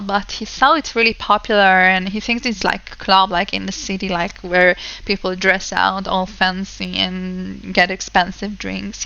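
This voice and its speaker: A woman's voice: mid-range voice, vocal fry, sharp tone, clear, resonant tone, highs cut off. A young woman who is not a native English speaker, casually chatting through gameplay commentary with lots of fillers. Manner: chatty, rambling, many fillers. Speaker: let's play host.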